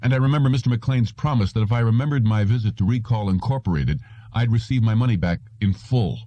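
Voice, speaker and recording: A man's voice: mid-range voice, quick pace, warm tone, no room sound, pitched above the performer's own voice, lightly acted. A man voicing an indignant, confused male clerk with an agitated, sardonic manner in an audiobook. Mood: angry, sardonic.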